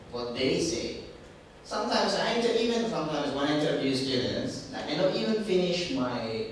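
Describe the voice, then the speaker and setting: A man's voice: mid-range voice, far from the mic, a little hiss or hum. Italian-accented male seminar speaker, casual and filler-heavy, recorded from afar in a very echoey hall. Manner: conversational, repeats words, many fillers. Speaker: seminar speaker on job interviews. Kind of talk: informal career seminar talk. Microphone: distant from the microphone.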